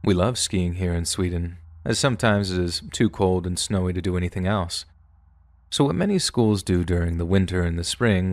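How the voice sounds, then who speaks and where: Deep-voiced man narrating horror stories. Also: no room sound. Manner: steady, measured storytelling reading. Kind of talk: scripted first-person narration.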